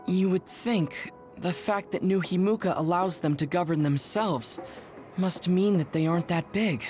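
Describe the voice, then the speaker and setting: An actor playing a small, polite, earnest young squad member in an English game dub, with music underneath. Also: male voice, high-pitched, a little slow, darkish tone. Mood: thoughtful.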